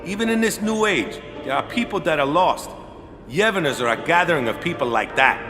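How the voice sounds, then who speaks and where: A man voicing a blunt, easygoing island caretaker who ends lines with "eh?", heard over loud background music in a game audio drama. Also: fairly high pitch, expressive, fairly quick, lightly acted. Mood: casual. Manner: laid-back, ends lines with "eh?" and "yeah?". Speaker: caretaker and former guardian.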